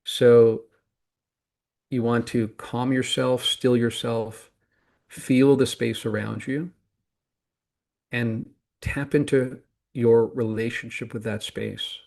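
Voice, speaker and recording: A man guiding a meditation as a teacher, speaking calmly and deliberately in short phrases with pauses between them. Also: medium pitch, vocal fry. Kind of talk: guided meditation instruction.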